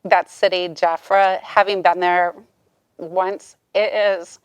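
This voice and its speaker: A woman's voice: lowish voice, level tone, slow pace, clear, resonant tone, no room sound, muffled recording. A woman interviewing an author, chatting casually and encouragingly. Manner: enthusiastic, encouraging.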